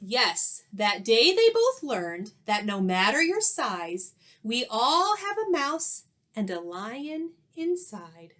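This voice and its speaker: A woman teacher reading a children's story aloud expressively, with dramatic pauses and questions to young listeners. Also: fairly high pitch, sing-song, a bit bright.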